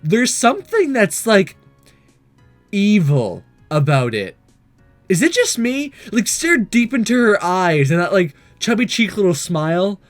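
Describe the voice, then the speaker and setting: A man giving playful let's-play commentary on a game, animated and stammering into asides. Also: high-pitched, measured pace, soft background music. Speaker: gaming YouTuber.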